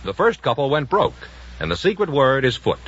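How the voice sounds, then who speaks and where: Lively radio announcer, man. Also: medium pitch, brisk, breathy edge. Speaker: quiz show announcer. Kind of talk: mid-century radio show announcing. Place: broadcast studio with audience. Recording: dull vintage radio broadcast, loud music.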